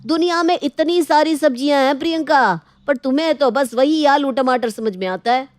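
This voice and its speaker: A woman's voice: high-pitched, moderate pace, a little mellow, no echo, voice pushed up, natural voice. A woman voicing a picky, pampered husband in a domestic story, with a demanding manner. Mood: grumbling. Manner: grumbling, demanding. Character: fussy, picky adult husband, pampered son.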